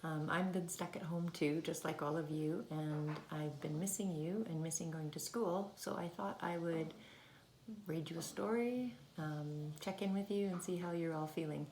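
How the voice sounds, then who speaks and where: Low-pitched woman reading to young children. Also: fairly even intonation, normal speed, a little mellow. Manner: simple, child-directed explaining with asides. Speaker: early childhood teacher reading a story to children. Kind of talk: read-aloud storytelling for young children. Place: indoors at home. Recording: roomy home recording with faint noise.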